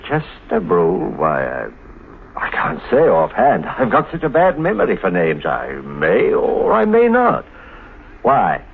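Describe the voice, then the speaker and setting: An actor playing a nervous, guilt-ridden husband in a 1950s radio mystery, speaking haltingly with dramatic pauses and repeated phrases. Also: male voice, lowish voice, huge pitch swings, gravelly, exaggerated voice. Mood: guarded. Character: nervous, guilt-ridden husband hiding a murder, narrating his story. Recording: scratchy, tinny 1950s radio recording.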